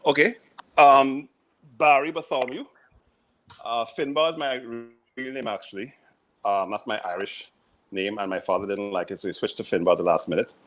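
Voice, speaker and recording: A man, a chef on a panel, talking in a relaxed, rambling way from his home kitchen. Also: medium pitch, moderate pace. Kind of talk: casual video call panel chat.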